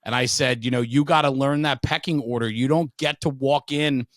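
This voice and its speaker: A man's voice: mid-range voice, steady tone, normal speed, no room sound. Frank, emphatic middle-aged man, dry close sound. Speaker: podcast guest, veteran firefighter and speaker. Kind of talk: casual interview storytelling.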